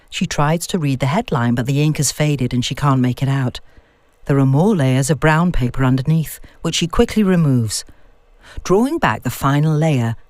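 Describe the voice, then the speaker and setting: A woman narrates a scripted story in a measured, expressive way. Her pitch moves freely and keeps the reading animated. The recording is very dry and close, with no sense of a room. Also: dry recording. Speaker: audiobook narrator.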